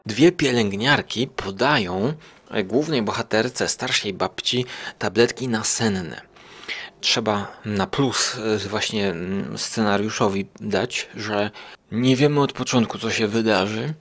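A man with a fairly low, creaky voice reviews things informally as a podcast host. He speaks slowly and haltingly, often rephrasing as he goes. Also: fairly bright tone.

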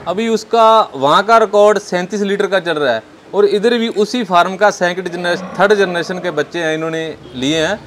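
Male farmer-dealer. High voice, agreeable, casual answers over some farm noise. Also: normal speed, few pauses, a bit bright. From northern India. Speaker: dairy farmer and cattle dealer, interviewee. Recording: clear background noise, farm ambience.

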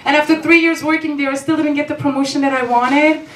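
A woman's voice: sits high, fairly even intonation, fast, fairly bright tone. Young female comic with Belgian accent, playful stand-up delivery, echoey and distant club sound. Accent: non-native English, Belgian. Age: young adult. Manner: playful, performative, acts out dialogue. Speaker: stand-up comedian. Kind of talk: stand-up comedy storytelling. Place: small comedy club stage, indoors. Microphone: handheld stage mic, recorded by distant camera. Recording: roomy, distant, noisy club recording.

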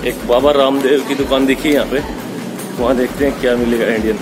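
A man's voice: medium pitch, fairly even intonation, a little fast, music in the background. A man in his thirties casually vlogging as he walks through city streets and shops, pointing things out to viewers and talking into a phone camera held at arm's length. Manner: chatty, points things out to viewers while walking. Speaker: travel vlogger. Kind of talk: casual walking vlog.

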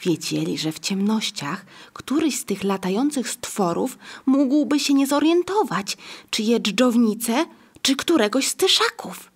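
A woman narrating a scripted children's story in a breathy, husky voice. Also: on the high side, very animated, unhurried.

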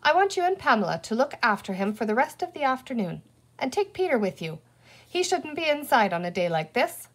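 A woman voices a busy storybook mother with a fairly high voice. She is fed up but in charge, brisk and insistent as she hands out firm instructions. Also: big pitch swings, slightly rough.

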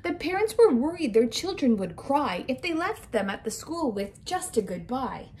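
Animated, high-voiced young woman reading to children. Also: moderate pace, slight background noise. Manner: expressive, sing-song, addressing young children. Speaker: kindergarten teacher. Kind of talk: children's picture book read-aloud. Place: small indoor room with decorated walls.

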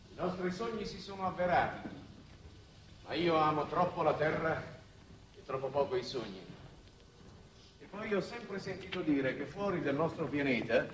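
A man's voice: fairly high pitch, lively intonation, moderate pace, long pauses, close to an everyday voice. An actor in his forties playing a worldly, wistful foreign minister in a 1960s television drama, urbane and wry in conversation. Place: large reflective television studio set. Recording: roomy, noisy old television soundtrack.

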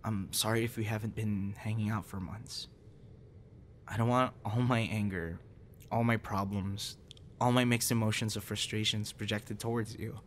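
A young man performing an intimate audio roleplay as a troubled guy confiding in a close friend after a breakup, halting and stammering. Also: lowish voice, fairly even intonation, close to an everyday voice. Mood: subdued, apologetic. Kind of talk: intimate ASMR boyfriend roleplay, acted monologue. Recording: noticeable background noise.

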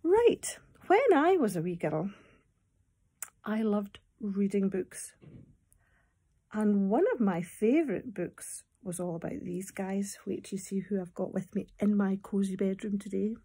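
A Scottish woman in her fifties talking and reading to young children at storytime, expressive, in simple phrases with questions to the listeners. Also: mid-range voice, unhurried, slightly rough. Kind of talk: storytime talk and poem reading for small children. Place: small room at home, indoors. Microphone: phone or tablet at arm's length.

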